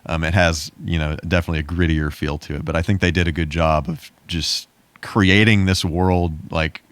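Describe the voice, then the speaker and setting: A man co-hosting a podcast, talking informally about movies in a laid-back, halting way. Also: low-pitched, steady tone, fairly bright tone, dry recording. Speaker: podcast co-host. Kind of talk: casual, informal movie chat.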